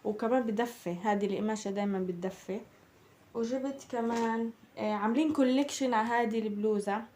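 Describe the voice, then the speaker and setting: A young woman with a Palestinian Arabic accent, chatting casually through a shopping haul, her voice slightly hoarse from a cold. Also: mid-range voice, darkish tone, a little hiss or hum. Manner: chatty, addresses viewers as girls. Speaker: vlogger.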